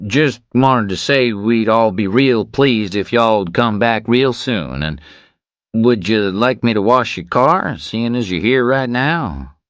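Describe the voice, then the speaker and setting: A male narrator acts a big, slow-witted bully, now meek. The voice is pushed up in pitch, with a pleading drawl in a dry, close recording. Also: expressive, a bit bright, no room sound.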